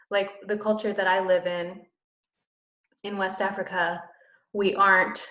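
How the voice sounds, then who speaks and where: A woman's voice: medium pitch, a bit bright. Thoughtful woman in an echoey room. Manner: thoughtful, emphatic repetitions. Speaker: missionary. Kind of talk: casual conversation.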